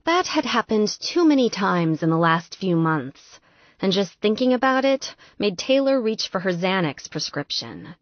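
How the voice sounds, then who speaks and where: A woman narrating an audiobook novel in an expressive, scripted storytelling style. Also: medium pitch, unhurried, no echo, lo-fi sound.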